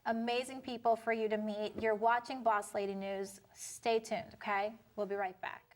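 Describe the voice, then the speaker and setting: A woman's voice: fairly high pitch, level tone, background noise. A woman hosting a talk show interview, affirming her guest and moving the conversation along. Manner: affirming, wraps up briskly. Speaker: host.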